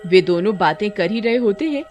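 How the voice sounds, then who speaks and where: Woman narrator, lively swooping delivery. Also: mid-range voice, fairly quick, dull audio, faint music behind. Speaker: story narrator. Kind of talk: scripted narration of a cartoon story.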